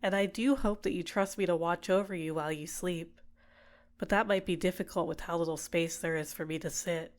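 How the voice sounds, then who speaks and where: Woman acting a low, coaxing villainess. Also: natural voice. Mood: warm, coaxing. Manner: soft, soothing, coaxing, deliberate. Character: manipulative female villain captor, tenderly possessive and coaxing. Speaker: ASMR roleplay performer. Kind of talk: ASMR roleplay, intimate personal attention.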